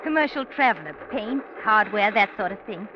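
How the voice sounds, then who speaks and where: A woman's voice: mid-range voice, animated, normal speed, close to an everyday voice. An actress playing a polite, chatty young British woman in an old-time radio drama. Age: young adult. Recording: muffled, noisy old radio recording.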